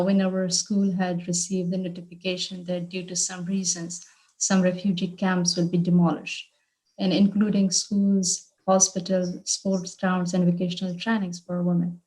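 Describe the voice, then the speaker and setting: A woman from Afghanistan, a panel guest on a video call, giving personal testimony in a steady, even way. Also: fairly low pitch, rough recording.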